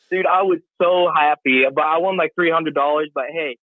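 A man calling in to a boxing show, excited and boastful, rambling in casual chat. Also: fairly high pitch, unhurried, no room sound. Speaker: caller. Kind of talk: call-in chat. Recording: tinny phone line.

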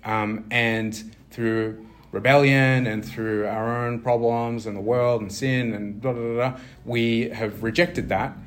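A man's voice: mid-range voice, level tone, slow. A man preaching an informal sermon, talking conversationally with many fillers and restarts and asking his listeners questions.

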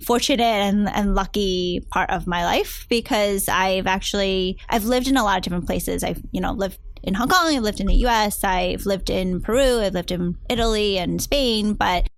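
A woman's voice: medium pitch, moderate pace, gravelly, hardly any pauses. A woman in her thirties with an American accent, giving conversational answers as an interview guest. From United States, New Jersey and New York area. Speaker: interview guest, venture capitalist.

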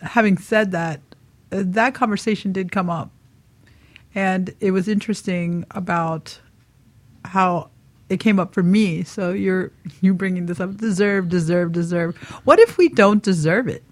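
A woman co-hosting a talk radio show in casual, reflective conversation, musing aloud in halting questions. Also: fairly low pitch.

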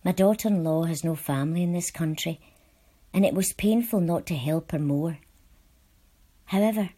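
A woman reading personal letters aloud from a script in a measured, earnest way. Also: lowish voice, warm tone, breathy edge. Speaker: volunteer reader.

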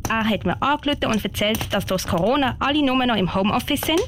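Woman playing a small brave girl, earnest, Swiss German accent, fairly high voice, amateur radio drama, noticeable background noise. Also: animated, fast talker, close to an everyday voice. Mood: eager, earnest. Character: plucky, helpful ten-year-old girl scout. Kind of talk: amateur children's radio play.

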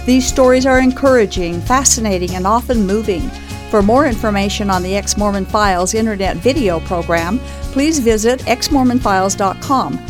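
Woman announcing over music. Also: mid-range voice. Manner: measured, formal announcing. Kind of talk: scripted show introduction. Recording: voice-over with clear music behind.

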